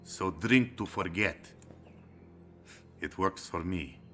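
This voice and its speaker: An actor playing a hearty, hard-drinking Soviet sergeant who speaks in a boisterous, jovial way. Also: male voice, low voice, takes it slowly, a bit bright, faint music behind. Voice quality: boisterous, jovial, then grim.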